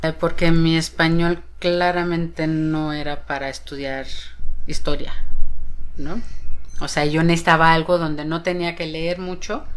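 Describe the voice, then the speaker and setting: A woman's voice: low voice, little pitch movement, measured pace, a little hiss or hum. A woman mathematician talking casually in a documentary interview, sprinkling in fillers. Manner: casual, fillers like "o sea", "¿verdad?".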